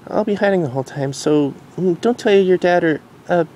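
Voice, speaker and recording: Man doing a high, bashful pegasus mare. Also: fairly quick, a little mellow, slight background noise, lightly acted, rough recording. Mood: sheepish. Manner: hesitant, stammering, bashful. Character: shy, flustered, sweet grown-up pegasus mare.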